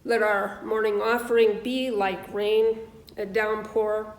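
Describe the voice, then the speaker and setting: Slow, solemn woman minister in echoey room. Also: medium pitch, level tone. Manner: deliberate, solemn phrasing with pauses. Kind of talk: offertory reading in church service.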